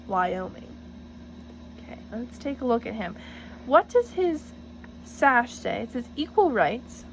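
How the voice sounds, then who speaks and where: A woman reading a picture book aloud to children in an encouraging, teacherly way, pausing to address them directly with questions. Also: on the high side, big pitch swings, background noise. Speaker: storybook reader for children, student teacher.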